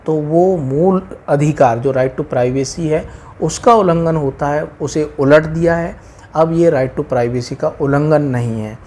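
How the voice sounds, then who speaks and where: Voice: mid-range voice, a little mellow. A speaker with an Indian accent explaining law informally to viewers, repeating points and mixing in English legal terms.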